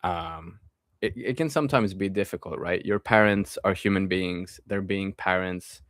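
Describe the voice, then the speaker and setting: A young man in his twenties with a low voice chats casually on a live stream, answering viewers' comments and then returning to his topic. His delivery is easygoing and friendly, with plenty of trailing fillers. The sound is dry and close, with almost no room echo. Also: normal speed, a bit bright. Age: 20s. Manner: reads viewer comments aloud and answers them, drifting back to his topic.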